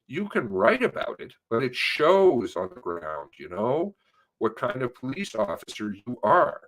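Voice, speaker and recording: A man's voice: medium pitch, very animated, a little slow, a bit bright, low-quality recording. A man reading an interview transcript aloud, stressing words for emphasis as he goes. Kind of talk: read-aloud of spoken interview transcript with commentary.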